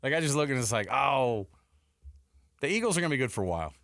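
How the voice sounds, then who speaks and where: A man's voice: mid-range voice, big pitch swings, fast talker, fairly bright tone, dry recording. A man co-hosting a casual sports talk radio show, speaking close to a studio mic.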